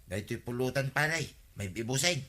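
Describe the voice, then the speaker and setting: A man's voice: medium pitch, lively intonation, normal speed, bright, forceful tone. A man playing a grumbling, henpecked village husband in an Ilocano comedy radio drama, protesting in a whiny, exclamatory way. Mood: griping banter. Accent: Filipino, Ilocano. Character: grumbling henpecked village husband, farmer-vendor who likes a drink.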